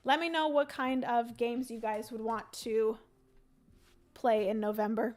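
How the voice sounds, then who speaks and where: A young woman on a livestream, talking through her moves in a solo board game and chatting with viewers, her voice going a little hoarse. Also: fairly high pitch, fairly even intonation, a little slow, darkish tone. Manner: chatty, thinks aloud, greets chat viewers. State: losing her voice. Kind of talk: casual livestream play-by-play.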